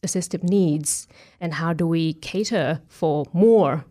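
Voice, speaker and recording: Woman interviewee with a lowish voice. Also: takes it slowly, dark tone. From Thailand, from Bangkok. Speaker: interview guest, web developer at a software company. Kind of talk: casual interview answers.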